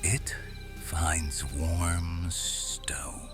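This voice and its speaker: A man playing a quiet, watchful serpent shifter guardian in an intimate ASMR roleplay, hushed and deliberate, often slipping into whispers. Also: low-pitched, little pitch movement, music in the background. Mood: soothing, intimate.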